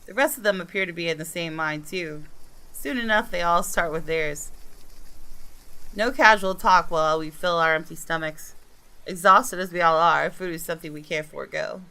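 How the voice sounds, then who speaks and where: A woman narrates a visual novel in measured, dramatic phrases. Her voice sits a little low for a woman, with a crisp, very bright and forceful edge. The reading is expressive, like storytelling for a gaming video. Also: poor audio. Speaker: gaming video creator reading a game aloud.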